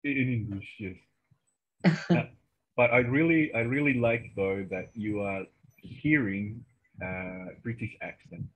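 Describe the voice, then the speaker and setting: Husky Chilean man on a video call. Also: on the low side, takes it slowly, low-quality recording. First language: Spanish. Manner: chatty, laughing, hesitant with fillers. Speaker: student in an English conversation class. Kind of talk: casual chat in a video-call class, switching to Spanish.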